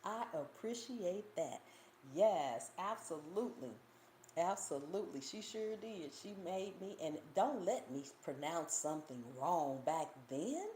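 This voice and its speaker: A woman's voice: on the low side, unhurried. A woman with a Southern US accent hosting a cooking channel's live Q&A, telling stories and reading viewer comments with a lot of laughter. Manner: animated storytelling, reads viewer comments, laughs often. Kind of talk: casual livestream Q&A chat. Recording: roomy echo, faint background hiss.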